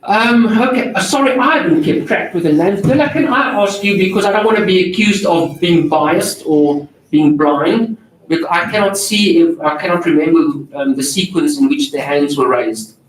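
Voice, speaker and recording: Non-native English-speaking male editor, candid panel talk, slightly high, echoey hall. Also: moderate pace, hardly any pauses. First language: not English. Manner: candid, self-deprecating, moderating questions. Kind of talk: panel discussion answering audience questions. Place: large auditorium. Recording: echoey auditorium, distant mic, faint noise.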